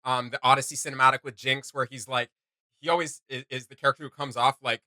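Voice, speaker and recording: A man's voice: mid-range voice, level tone, quick pace, pushed and bright, dry recording. A man hosting a reaction video, chatting casually and enthusiastically in rambling fan commentary.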